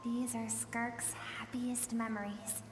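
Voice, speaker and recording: Wistful storyteller in long, echoing reverb. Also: female voice, a little high, little pitch movement, slow pace, noisy background. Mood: wistful, nostalgic. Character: wistful, solemn female storyteller recounting a tragic past. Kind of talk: scripted video game narration. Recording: long reverberant game audio with faint music.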